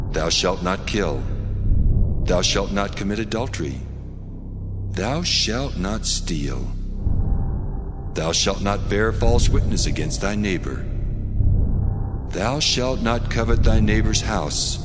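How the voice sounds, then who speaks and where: An actor playing a stern, commanding God who gives the law in solemn, declamatory tones, in a dramatized scripture reading. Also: male voice, low-pitched. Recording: long cavernous reverb, music underneath. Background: music.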